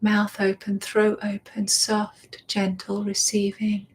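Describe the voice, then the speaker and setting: A woman guiding a meditation, speaking softly and soothingly and often dropping into a whisper. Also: medium pitch, monotone, slightly rough, poor audio. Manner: slow, soft and soothing, drifting into whispers. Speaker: meditation guide. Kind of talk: guided meditation.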